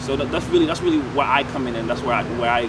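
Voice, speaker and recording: A man's voice: mid-range voice, rapid-fire. A young man from Senegal, a rapper being interviewed outdoors, talking casually and laid-back, often saying "you know what I mean" and restarting his sentences. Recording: loud street noise and traffic. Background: street noise and passing vehicles.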